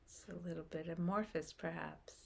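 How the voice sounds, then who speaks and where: A woman leading a guided meditation in a gentle, unhurried manner, speaking in short phrases with long pauses. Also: on the low side, steady tone, gravelly.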